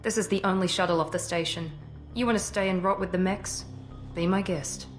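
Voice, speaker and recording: An actress playing a cold, confident, ruthless project director in a sci-fi video game, with a clipped, dismissive manner. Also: female voice, on the low side, steady tone, brisk, close to an everyday voice. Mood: brisk, commanding. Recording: music behind the voice.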